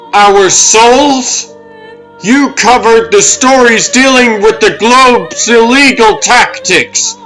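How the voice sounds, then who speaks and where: Guy doing principled newspaper man, indignant, bright forceful voice, slow accusing comic dub delivery. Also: sits high, exaggerated voice, poor audio. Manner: earnest, accusing, rhetorical. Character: principled, indignant adult male newspaper reporter. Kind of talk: theatrical comic book dub.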